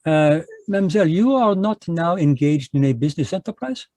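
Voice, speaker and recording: A man voicing a fussy, precise Belgian detective, speaking in a measured, lecturing way in a live online radio drama. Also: fairly high pitch, lively intonation, mellow, no echo, lightly acted. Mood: courteous.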